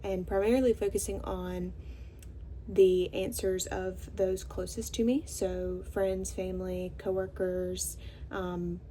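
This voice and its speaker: A woman presenting her own short documentary in an informal, hesitant spoken introduction, often pausing mid-sentence. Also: medium pitch, fairly even intonation, warm tone, a little hiss or hum, low-quality recording. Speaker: filmmaker and presenter.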